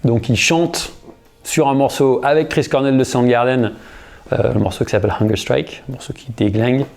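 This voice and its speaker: A man in his thirties, a guitarist and singer, telling a story in a casual interview. He uses a lot of slang and often starts over or pauses with fillers. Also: mid-range voice, creaky. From United States. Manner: relaxed, slangy, many fillers and restarts.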